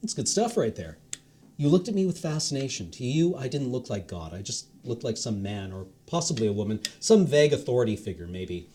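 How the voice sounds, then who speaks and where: A man reading a short story aloud on a charity stream, expressive with the odd stumble. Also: mid-range voice, a little fast, few pauses, a little hiss or hum.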